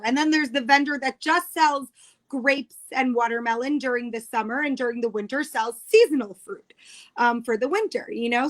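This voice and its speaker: A woman in her thirties from New York with an American accent speaks in a fairly high voice. She is an interview guest who chats casually and explains things in careful detail. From New York, United States. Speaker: guest, cookbook author.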